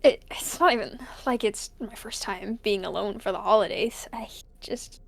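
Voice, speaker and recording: A woman playing a weary, lonely college student recording a halting personal log in an audio drama. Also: medium pitch, creaky. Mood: dejected, lonely. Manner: hesitant, halting, sighing. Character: weary, lonely college student stuck alone in a snowbound house.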